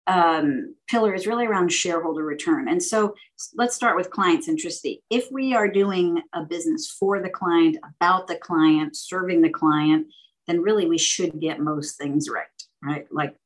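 A woman in her fifties from San Francisco, a banking executive answering interview questions in a measured, orderly way, laying out her points as lists. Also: fairly low pitch, rough recording. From San Francisco, United States. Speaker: guest, banking executive and board director.

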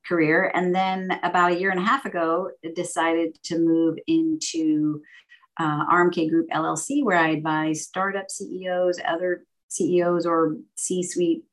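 A woman in her fifties with a notably low voice answers interview questions about her career in banking. She speaks in a measured, methodical way and sets out her ideas as orderly lists, pausing on the occasional "um". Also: level tone, low-quality recording. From San Francisco, United States. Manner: measured and orderly, laying out points as lists with "um" pauses. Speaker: guest, banking executive and board director.

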